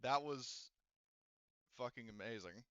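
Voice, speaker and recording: A man's voice: mid-range voice, takes it slowly, bright, forceful tone, clean tone, no room sound, highs cut off. A man running a tabletop roleplaying game, laughing often and thinking aloud as he works through the rules. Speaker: game master.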